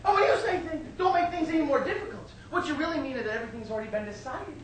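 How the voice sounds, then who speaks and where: A woman's voice: high voice, expressive, racing. A woman playing an aggrieved, self-pitying older mother in a stage monologue, melodramatic and wounded, guilt-tripping shrilly. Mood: shrill, aggrieved. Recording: echoey stage recording, distant mic, noisy.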